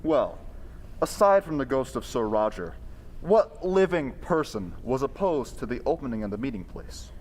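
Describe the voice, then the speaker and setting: An actor playing a calm, bold gentleman investigator in an old-time radio mystery, speaking in a measured, formal way. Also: medium pitch. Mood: composed questioning. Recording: reverberant hall, background hiss.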